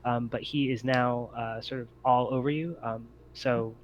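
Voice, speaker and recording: A man's voice: medium pitch, steady tone, unhurried, muffled recording, slight background noise. A man acting as game master, explaining turns and rules of a tabletop roleplaying game in hesitant phrasing.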